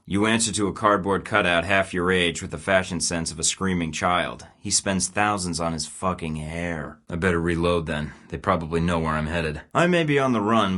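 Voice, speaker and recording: A man's voice: deep voice, bright, forceful tone. An actor playing a wisecracking young male rogue spy in a video game, sarcastic and taunting. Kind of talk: game voice lines.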